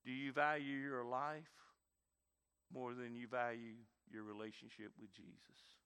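A man, preaching a sermon with dynamic emphasis and quiet, intense stretches. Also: mid-range voice, level tone, measured pace, clear, resonant tone. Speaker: pastor.

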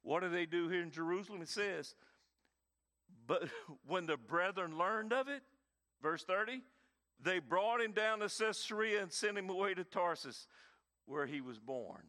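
Bright-voiced preacher. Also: male voice, sits high, animated, normal speed. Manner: dynamic preaching, swings between loud emphasis and quiet intensity. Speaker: pastor. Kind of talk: sermon.

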